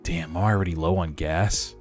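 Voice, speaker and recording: A man's voice: low voice, steady tone, a little slow, a little mellow. A man giving casual, slangy reaction commentary as a streamer reading a webtoon aloud, with some swearing. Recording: music behind the voice. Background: background music.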